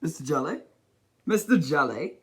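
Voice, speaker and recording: A reader as a loud, friendly storybook man. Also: expressive, normal speed, breathy edge. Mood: amused. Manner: booming, trying to hold himself back.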